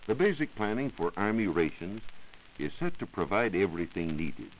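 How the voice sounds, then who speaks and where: A man's voice: sits low, very animated, moderate pace, lo-fi sound, a little hiss or hum. An American man explaining formally as a science reporter in a scripted 1940s radio broadcast on an old recording.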